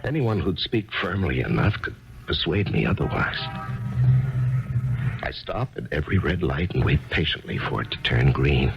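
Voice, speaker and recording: A despairing loner in a 1970s radio drama, his very deep voice rough with grit and rising and falling widely. He talks haltingly, unsure of himself. The sound is dull and lo-fi, with music playing behind. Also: sing-song, exaggerated voice. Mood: brooding. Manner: hesitant, halting, self-doubting. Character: despondent lonely man, numb and withdrawn. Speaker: lead actor.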